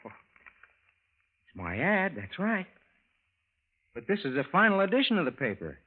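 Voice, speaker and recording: An ordinary American husband and landlord, plainspoken and earnest, a little puzzled. His highish voice moves up and down freely, and the sound is a thin vintage radio recording. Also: moderate pace, narrow, tinny audio, a little hiss or hum. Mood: baffled. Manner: plainspoken, conversational, earnest, expressive. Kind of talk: 1950s radio drama acting, first-person narration.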